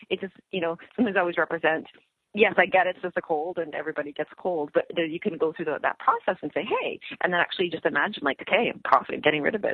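A woman's voice: breathy edge, no room sound. A woman co-hosting a radio talk show, bubbly and chatty, laughing often as she talks over a phone line. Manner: bubbly, laughs often, lively pitch swings. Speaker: co-host.

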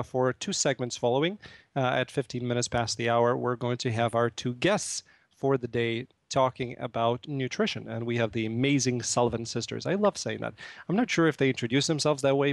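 A man's voice: medium pitch, no room sound. A man from Russia hosting a live radio talk show from a studio, chatting playfully with many fillers and laughing at himself.

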